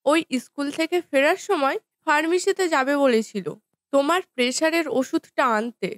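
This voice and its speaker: A woman playing a dutiful, gentle young daughter-in-law in a cartoon family drama, with a deferential, soothing manner. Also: high voice, normal speed, no room sound, close to an everyday voice. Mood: caring.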